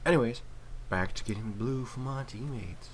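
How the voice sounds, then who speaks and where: Male gamer, mid-pitched, casual and wry play-by-play, slightly noisy home recording. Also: moderate pace. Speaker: gaming video commentator and player. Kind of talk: casual game commentary.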